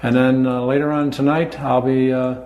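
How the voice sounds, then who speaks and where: An older American man explaining his work informally and step by step, in a halting manner. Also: mid-range voice, little pitch movement, darkish tone. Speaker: farm owner and cheese maker. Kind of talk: informal explanation while demonstrating. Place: indoors in a small farm creamery. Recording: clear background noise, working creamery room.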